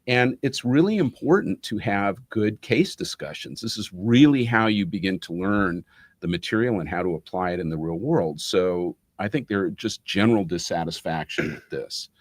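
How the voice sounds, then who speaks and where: A man's voice: lowish voice, lively intonation, normal speed, dry recording. A man giving explanatory interview answers in a methodical, professorial way. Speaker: interview guest, business school academic.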